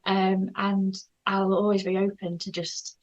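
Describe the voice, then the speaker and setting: Gentle, hesitant woman. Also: medium pitch, level tone, measured pace, muffled recording. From North Yorkshire, England. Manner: shy, gentle, hesitant with many ums. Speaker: guest artist. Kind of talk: casual interview chat.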